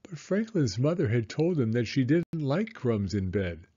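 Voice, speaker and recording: Man, children's storybook narrator, mellow husky voice, very varied intonation. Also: medium pitch, big pitch swings, moderate pace, muffled recording. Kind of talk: children's read-aloud narration.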